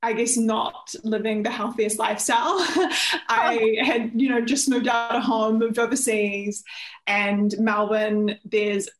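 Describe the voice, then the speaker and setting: A woman's voice: mid-range voice, a bit bright. A young adult woman with a New Zealand accent, giving conversational answers as an interview guest in a roomy, echoey recording. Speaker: interview guest, nutritionist and company founder.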